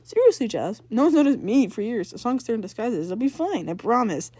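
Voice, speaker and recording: A woman's voice: on the high side, sing-song, brisk, mellow, no room sound. A woman voicing an awkward but casually confident teenage younger brother in a fan fiction reading. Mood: breezy, insistent.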